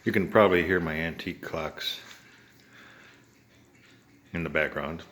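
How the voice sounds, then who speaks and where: An older man with an American accent giving a casual, halting show-and-tell narration in short phrases. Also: sits low, fairly bright tone. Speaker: home video maker showing an antique radio.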